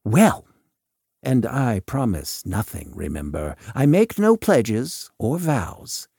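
A man's voice: mid-range voice, big pitch swings, takes it slowly, gravelly, dark tone, husky, no echo, clean studio sound, heavily acted. Man acting theatrical eccentric doctor, swooping voice. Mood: wry, cautioning. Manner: wordy, theatrical, formal. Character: eccentric, coolly mocking male doctor lurking in shadows. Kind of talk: audiobook character dialogue.

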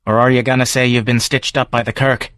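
A man reading a gruff Scottish ex-convict in a pub, blunt and defensive, in broad dialect. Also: medium pitch, flat delivery, fairly quick, a bit bright, dry recording, studio quality, close to an everyday voice. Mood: wary. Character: gruff Scottish male ex-convict drinker, surly.

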